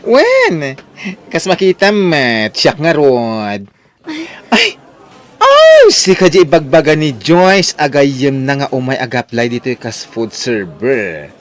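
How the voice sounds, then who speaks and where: A man's voice: medium pitch, wildly swooping pitch, slow pace. A man acting as a frank friend and advisor in an Ilocano audio drama. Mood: excited.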